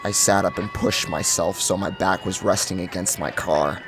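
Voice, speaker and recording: A man's voice: sits low, steady tone, moderate pace. A man narrating a first-person horror story with an intense, emotive, dramatic delivery. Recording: music behind the voice.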